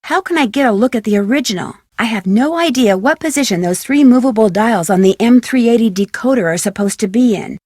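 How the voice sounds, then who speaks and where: An actress playing a curious, polite, chipper young female detective in a video game, asking things courteously and clearly. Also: a little high, animated, a little fast, hardly any pauses, a little mellow, no room sound, clean studio sound. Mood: earnest, puzzled. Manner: inquisitive, courteous, clearly enunciated questioning. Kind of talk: scripted video game dialogue.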